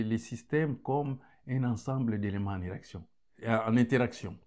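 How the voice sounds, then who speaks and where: A man giving an unscripted lecture, measured and pausing often, restarting phrases and stressing key words. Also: a little high, a little mellow. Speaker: lecturer on African thought.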